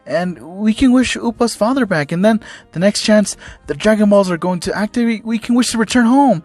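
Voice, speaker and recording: A man's voice: sits high, big pitch swings, takes it slowly, no echo, faint music behind, voice pushed up, exaggerated voice. A man voicing a naive, emotional young son with an earnest, pleading manner. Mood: tearful joy.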